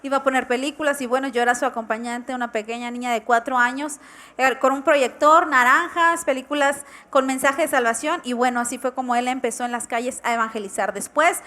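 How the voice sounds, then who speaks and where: A young woman with a bright, high-pitched voice co-hosts a church television program. She welcomes viewers and tells a family story in an earnest, storytelling manner. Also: fairly even intonation, a little fast. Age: 20s. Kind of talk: warm testimonial talk on TV.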